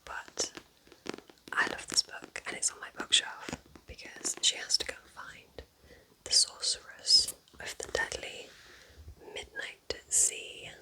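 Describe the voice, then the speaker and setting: A woman whispers throughout in a soft, breathy, unvoiced voice. She talks gently and without hurry, like an intimate ASMR chat, casually recommending things to the listener. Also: slow, rough recording. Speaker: ASMR creator recommending books.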